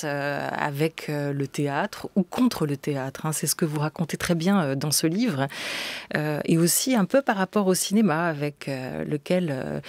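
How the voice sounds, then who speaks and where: A man presents a cultural radio interview in a close, dry sound. He phrases things deliberately and leaves pauses between short groups of words, setting out his questions in a measured way. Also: fairly high pitch, no echo.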